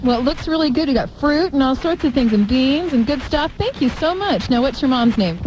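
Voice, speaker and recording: High-voiced American female radio co-host on location. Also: lively intonation, fairly quick. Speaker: morning radio show co-host. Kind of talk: live radio chat and interviews. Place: outdoors in the cold at a supermarket event. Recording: noisy live outdoor radio broadcast.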